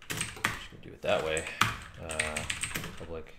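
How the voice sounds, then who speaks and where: A man's voice: rumbling low, completely flat delivery, unhurried. A man in his thirties talking himself through code in casual, muttered fragments. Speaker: game developer streaming. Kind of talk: casual think-aloud coding commentary. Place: indoor home room at a desk. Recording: roomy, loud keyboard typing noise. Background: keyboard typing and clicks.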